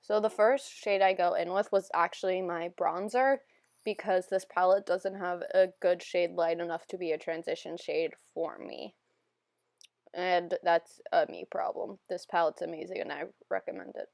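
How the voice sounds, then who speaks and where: A young woman narrating a makeup tutorial in a casual, self-deprecating, rambling voiceover, her voice still a little hoarse from being sick. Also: fairly low pitch, fairly even intonation, moderate pace, darkish tone, dry recording, clean studio sound. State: slightly hoarse, recovering from illness. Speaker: beauty vlogger.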